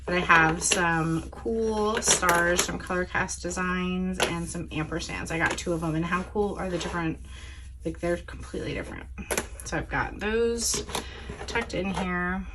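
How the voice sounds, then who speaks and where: Woman crafter, a bit hoarse, chatty and rambling, slightly low voice, clear background noise on a home recording. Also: measured pace. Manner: chatty, rambling, lots of fillers and self-corrections. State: hoarse, recovering from illness. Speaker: scrapbooking crafter showing supplies. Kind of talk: casual show-and-tell chat.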